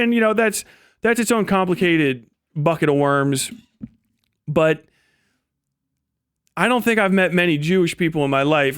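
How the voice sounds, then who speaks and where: A man talks off the cuff as a live commentator, in a fairly high, very clear voice recorded close and dry. His delivery is rambling and incredulous, full of slang and swearing. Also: fairly bright tone, no echo. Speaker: live streamer and political commentator. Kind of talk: casual unscripted livestream commentary.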